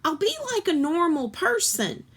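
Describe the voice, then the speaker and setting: A woman's voice: extremely high pitch, sing-song, normal speed, fairly bright tone, pitched above the performer's own voice, heavily acted. A woman voicing a headstrong, dramatic young girl from a children's storybook, pleading and emphatic.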